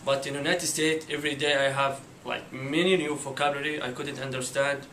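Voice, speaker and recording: A young man from Saudi Arabia with a Saudi Arabian accent, a student giving plain, measured interview answers. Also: mid-range voice, level tone, a bit bright. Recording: roomy with faint noise.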